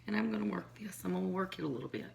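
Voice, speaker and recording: Hoarse woman, gravelly fry, rambling folksy kitchen chat, noisy phone recording. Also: lowish voice, lively intonation, rapid-fire. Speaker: home cook. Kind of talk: casual cooking demonstration. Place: home kitchen, indoors. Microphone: phone or camera on counter.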